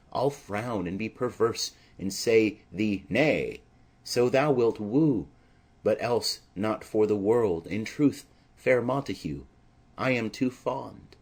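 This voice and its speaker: An earnest young maiden in love, acted by a man, speaks Shakespearean verse in an audiobook drama. The delivery is slow and anxious, with a husky grain to the voice. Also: lowish voice, darkish tone.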